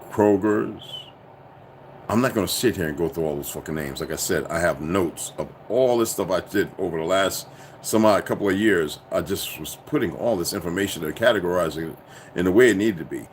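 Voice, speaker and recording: A man's voice: sits low, normal speed, a little hiss or hum. A man delivering a profane, preachy, insistent monologue to his viewers. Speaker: commentator.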